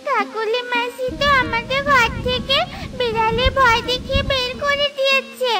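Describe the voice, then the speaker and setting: A woman's voice: very high voice, fairly even intonation, normal speed, over music. A woman voicing an innocent, frightened little girl chick in a cartoon, childlike and plaintive. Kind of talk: Bengali cartoon voice acting.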